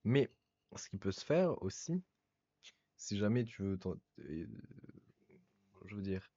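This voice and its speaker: A man's voice: fairly low pitch, big pitch swings, slow, dark tone, muffled recording. A male podcast guest who talks in elaborate, literary phrasing during a casual conversation.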